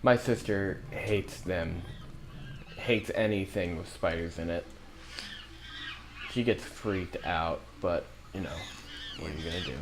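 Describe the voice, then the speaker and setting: A young man joking and chatting while playing a video game, relaxed and quick to laugh. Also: deep voice, background noise. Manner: laid-back, joking, laughs often. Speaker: let's play co-commentator. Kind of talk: casual gaming chat. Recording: game music behind.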